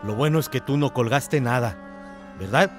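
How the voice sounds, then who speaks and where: An actor playing a scornful, controlling village husband in a radio drama, gruff and bossy. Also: male voice, mid-range voice, moderate pace, lightly acted. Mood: scornful, menacing. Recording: music under the voice.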